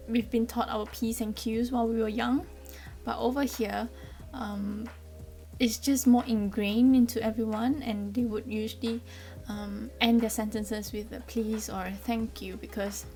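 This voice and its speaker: A woman's voice: fairly high pitch, steady tone, a little slow, warm tone, faint music behind. Chatty young Malaysian woman vlogger. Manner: chatty, friendly, frequent ums. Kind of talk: casual explainer vlog.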